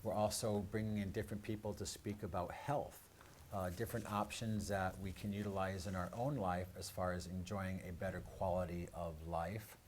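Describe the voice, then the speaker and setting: A man's voice: sits low, fairly even intonation, normal speed, a little mellow. A man presenting a live talk show as host, in a hesitant, rambling way. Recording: clearly noisy live-stream room sound.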